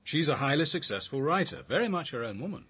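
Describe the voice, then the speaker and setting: An actor playing an analytical detective with an English accent, speaking precisely and with understatement in a radio drama. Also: male voice, a little high, sing-song, fast talker, fairly bright tone, husky, heavily acted. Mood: measured, sceptical. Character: measured, analytical male detective visiting his aunt's village. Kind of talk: naturalistic British radio drama acting.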